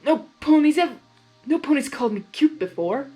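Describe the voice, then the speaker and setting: Woman voicing a pompous, loud male chaos creature. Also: high-pitched, lively intonation, moderate pace, faint music behind, voice pushed up. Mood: bashful, flustered. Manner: pompous, commanding, exclaiming. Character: smug, blustering male chaos creature, theatrical and egotistic.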